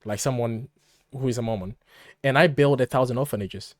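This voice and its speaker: A man with a mid-pitched voice, recorded close and dry. As a podcast co-host he gives blunt, conversational commentary, arguing emphatically and posing rhetorical questions. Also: brisk, no room sound.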